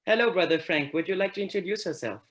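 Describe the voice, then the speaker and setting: A man's voice: high voice, fast, a bit bright. A sixteen-year-old boy from Réunion eagerly introducing himself on a video call. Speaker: panelist.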